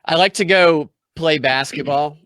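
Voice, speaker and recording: Thirties man, higher bright voice, dry close sound, relaxed guest. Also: measured pace, dry recording. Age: 30s. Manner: easygoing, short replies. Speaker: podcast guest. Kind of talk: casual chat.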